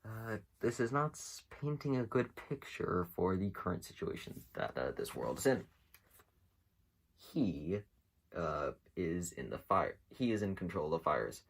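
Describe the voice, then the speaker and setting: A man giving casual, unscripted commentary as a video host, rambling along with halting pauses. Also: deep voice, sing-song, creaky, breathy edge. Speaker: host of an internet mystery series.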